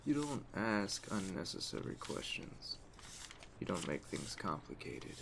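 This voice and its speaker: A man playing a calm, courteous, quietly menacing mafia boss in an ASMR roleplay, speaking in a measured, deliberate way with a gravelly voice. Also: full character voice. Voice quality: gravelly, low. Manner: measured, deliberate, smooth-talking, faintly menacing. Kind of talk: ASMR roleplay addressed to the listener. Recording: steady noise of storm ambience behind. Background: storm ambience.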